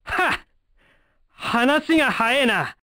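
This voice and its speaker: An actor playing a brash, cocky young male fighter in a Japanese fighting game, speaking in rough, breezy slang. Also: extremely high pitch, lively intonation, extremely slow, sharp tone, no echo, studio quality, full character voice. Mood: persuasive, confident.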